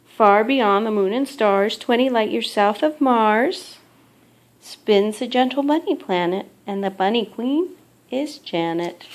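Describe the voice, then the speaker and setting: A woman's voice: a little high, slow. Woman reading a children's storybook aloud. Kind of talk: children's picture book read-aloud.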